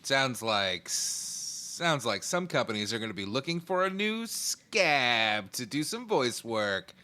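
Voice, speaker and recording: A man's voice: medium pitch, very animated, slow, bright, forceful tone. A man co-hosting a gaming podcast, joking around with a dry, sarcastic edge. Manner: jokey, sarcastic. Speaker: podcast co-host. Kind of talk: casual chat.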